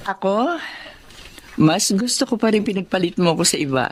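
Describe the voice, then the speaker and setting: An actress playing a kind, patient middle-aged mother and wife in a radio drama, gentle and sincere. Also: mid-range voice, expressive, normal speed, lightly acted. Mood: gentle, frank. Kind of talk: Tagalog radio drama narration and acting.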